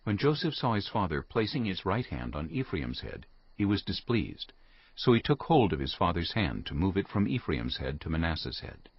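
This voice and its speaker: A man's voice: low voice, big pitch swings, normal speed, slightly rough. A man giving scripted narration of a dramatized scripture reading as its narrator. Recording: muffled lo-fi audio.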